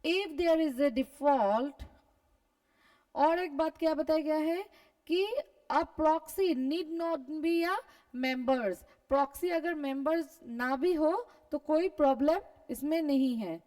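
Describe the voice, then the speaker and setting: Slow, high-pitched Indian woman lecturer. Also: a little mellow. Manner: explanatory, repeats points, asks and answers own questions. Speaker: teacher of company law classes. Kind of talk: lecture with slow dictation, switching between Hindi and English. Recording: voice distant from the microphone.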